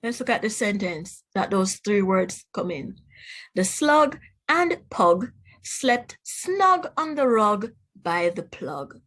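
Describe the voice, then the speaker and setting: A woman's voice: mid-range voice, very animated, slow pace, a bit bright. A woman with a Jamaican accent reads aloud to children as a teacher, enunciating words in an instructive way and putting questions to the listener. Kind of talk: children's read-aloud phonics lesson.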